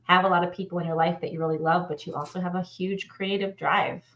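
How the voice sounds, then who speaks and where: A dull video-call recording with some noise carries a woman's fairly low voice. She chats casually as a co-host, earnest and emphatic about her points. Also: fairly even intonation, fast, fairly bright tone. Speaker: co-host of a pop culture live show. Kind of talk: casual panel chat. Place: home room, indoors, video call.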